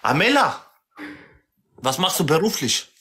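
A man's voice: fairly high pitch, sing-song, a little slow, bright, forceful tone, breathy edge. A twenty-three-year-old man hosting a live stream, chatty and teasing, turning aside to talk to his viewers. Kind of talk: casual live stream chat.

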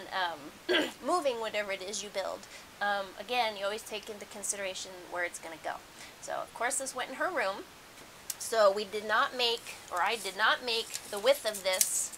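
Middle aged lady doing a chatty how-to at home, bright voice, bit of hiss. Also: mid-range voice, low-quality recording. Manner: conversational, explanatory. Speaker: DIY host. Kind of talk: casual how-to demonstration. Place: carpeted room at home.

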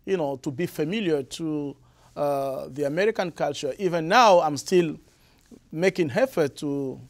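Congolese man with a French accent. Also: on the high side. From Republic of the Congo. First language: Lari. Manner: halting, many fillers and repeats. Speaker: interview guest, musician. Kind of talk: casual interview answers. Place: studio with blue curtain. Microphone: lapel mic.

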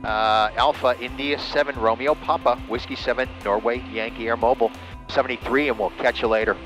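A man chatting over ham radio, relaxed and enthusiastic, with lots of fillers, from a small plane cockpit and his radio room. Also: medium pitch, a little fast, over music, low-quality recording. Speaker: private pilot and ham radio operator. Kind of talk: casual radio chat.

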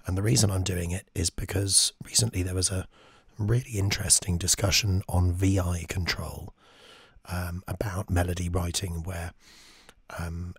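A man whose voice is almost gone, breathy and half-whispered, sitting right up on the microphone. His tone is low and deep, and the sound is dry and close. He teaches in a thoughtful way, with many ums. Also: dry recording. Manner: thoughtful, with frequent ums while reading quotes. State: lost voice, very hoarse from chest infection. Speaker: composer and music teacher. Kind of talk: screen-share music lesson.

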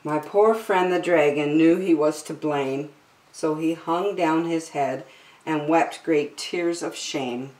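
A middle-aged woman reading a rhyming picture book aloud to children, drawing out and stressing her words in a deliberate storytelling manner. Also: sits low, darkish tone. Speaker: children's story time host. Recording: roomy indoor echo.

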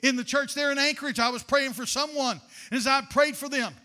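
High, bright-voiced older preacher man. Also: steady tone, a little fast. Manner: fervent preaching, rising to shouts; addresses congregation as honey. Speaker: pastor. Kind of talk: sermon. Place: church sanctuary at a pulpit. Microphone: handheld microphone.

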